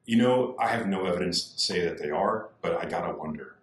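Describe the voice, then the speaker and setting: A man's voice: sits low, expressive, fairly quick, echoey room, slight background noise. A man talking as a political candidate on a podcast, earnest and repeating his points for emphasis. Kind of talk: podcast discussion.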